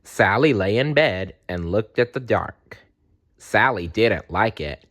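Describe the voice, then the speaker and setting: A man's voice: lowish voice, lively intonation, slow, fairly bright tone. A man reading a children's storybook aloud expressively. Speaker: storybook reader.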